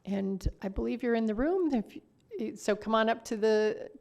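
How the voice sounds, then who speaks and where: A woman's voice: on the high side, moderate pace, creaky, darkish tone. A woman speaking as a mayor chairing a council meeting, courteous and procedural as she moves through formal business.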